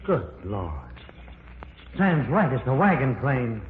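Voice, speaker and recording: A steady, learned professor. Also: male voice, mid-range voice. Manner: measured, reassuring, authoritative. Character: calm learned male professor, explorer. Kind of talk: 1940s radio acting. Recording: tinny old radio broadcast, noisy, echoey.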